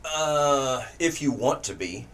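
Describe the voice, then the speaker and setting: A man's voice: mid-range voice, lively intonation, slow, fairly bright tone, a little hiss or hum. A man hosting a casual interview, mostly giving short, encouraging replies and telling a brief story of his own. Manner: supportive listener, short affirmations. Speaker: podcast host. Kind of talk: casual chat.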